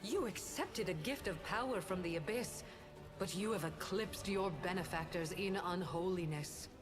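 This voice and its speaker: A stern, righteous woman of a fantasy game, played by an actress, speaking in a fairly low voice. She is solemn, formal and reproachful over prominent music. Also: moderate pace, close to an everyday voice. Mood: grave condemnation. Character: stern, righteous woman condemning an evil path. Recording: music behind the voice.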